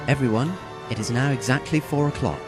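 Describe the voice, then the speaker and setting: A man playing a calm, courteous, secretive teenage boy who makes measured announcements in an anime-style audio drama. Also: mid-range voice, over music, natural voice. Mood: polite, formal.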